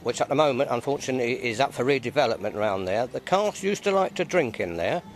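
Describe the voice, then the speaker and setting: A man talks at an even middle pitch, stumbling and repeating words as he explains local history. He is recorded outdoors at an event. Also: quick pace. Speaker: interviewee, society member. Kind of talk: casual outdoor interview.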